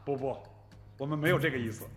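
A man playing a composed police investigator in a radio drama, talking in a measured, formal, insistent way. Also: medium pitch, faint music behind, lightly acted. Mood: firm.

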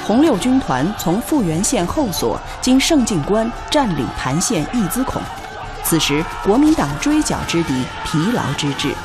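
A woman delivering scripted narration for a radio drama. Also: medium pitch. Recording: clear music behind the voice.

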